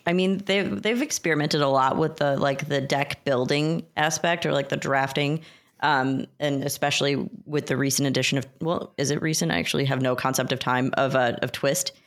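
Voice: mid-range voice, a bit bright. A podcast panelist chatting casually about games, hesitating and thinking aloud. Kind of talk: casual gaming podcast chat.

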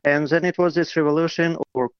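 Man from Ukraine, caller on a talk show, higher voice, hesitant with fillers, muffled dry call audio. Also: steady tone, fairly quick, clear, resonant tone, dry recording. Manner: hesitant, many fillers and restarts.

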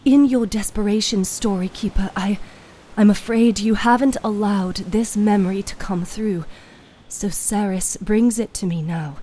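A woman voicing a solemn female mystic in a fantasy story, with a soft-spoken, measured manner. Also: mid-range voice, lightly acted.

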